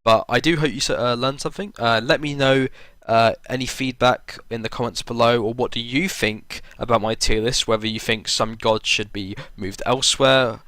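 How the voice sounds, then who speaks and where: A man with a voice still hoarse from the flu, giving casual, rambling game commentary with many ums. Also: mid-range voice, fairly even intonation, moderate pace, fairly bright tone, no echo. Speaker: gaming video creator.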